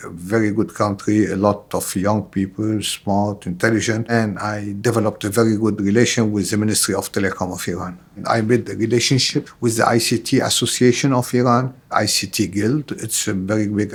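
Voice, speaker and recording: Low-voiced man with Lebanese accent. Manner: non-native English with repeated phrases. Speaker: interviewee, IT specialist. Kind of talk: interview testimony.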